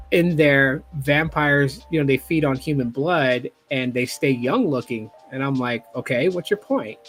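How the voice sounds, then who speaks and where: Host, man, 40s, fairly high voice, loose and profane, faint music underneath. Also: a bit bright. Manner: casual, swears freely, acts out quotes. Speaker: YouTube host. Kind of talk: casual podcast chat.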